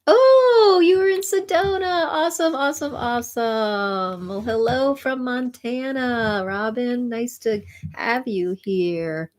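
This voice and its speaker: Slow-talking woman sound healer on a livestream. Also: a little high. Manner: slow, soothing, reflective, with soft laughs and restarts. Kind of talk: livestream chat reading viewer comments.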